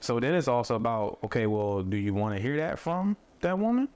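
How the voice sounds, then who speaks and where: A man who makes mental health content, talking thoughtfully in a relaxed conversation, with many fillers and restarts. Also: mid-range voice, a little fast, clean tone. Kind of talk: casual conversation. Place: studio set with a table.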